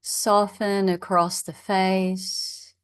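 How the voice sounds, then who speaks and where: A woman leading a guided meditation as a teacher, in a measured, instructional way with long pauses. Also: mid-range voice, flat delivery, clear, resonant tone, no echo.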